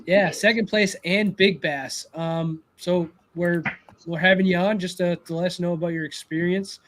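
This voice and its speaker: A man's voice: high voice, steady tone, normal speed, a bit bright. A man hosting a live show, chatting in an easygoing way and prompting his guest to talk. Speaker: show host. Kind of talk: casual live-show chat.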